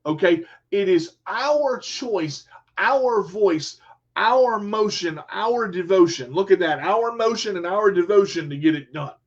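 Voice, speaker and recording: A man with a high, bright-sitting voice hosts a radio talk show, speaking in an emphatic motivational way. He repeats his key lines and keeps checking in with listeners. The recording is dull and lo-fi. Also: animated, measured pace. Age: adult with a son. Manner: emphatic, repetitive, talks straight to listeners. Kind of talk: motivational monologue.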